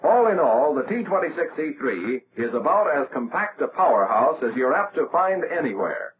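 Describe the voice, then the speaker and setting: An American man narrating a 1940s military training film in a measured, instructional way, as a scripted voice-over. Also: a little high, very animated. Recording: tinny, band-limited, noisy old film soundtrack.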